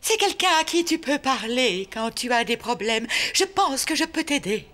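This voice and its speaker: A woman voicing a calm, kindly animal psychologist in a children's cartoon, gentle and soothing. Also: sits high, normal speed. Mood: gentle, reassuring. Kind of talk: French-dubbed children's cartoon acting. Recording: faint music behind.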